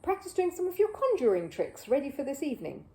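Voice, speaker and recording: A woman's voice: high voice, huge pitch swings, quick pace, warm tone, roomy sound, pitched above the performer's own voice, exaggerated voice. A woman voicing a fussing, affectionate mother from a children's storybook, coaxing as she talks. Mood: flustered, coaxing.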